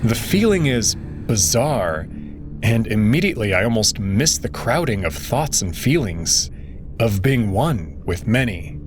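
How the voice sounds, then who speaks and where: A man reading dramatic first-person fiction in a dreamy, drawn-out way. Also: fairly low pitch, big pitch swings. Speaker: reader voicing a first-person protagonist. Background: music.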